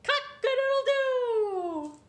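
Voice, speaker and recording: Proud rooster for a children's story, female reader, crowing loud and high in an echoey room. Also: expressive, drawn-out words, exaggerated voice. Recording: roomy echo.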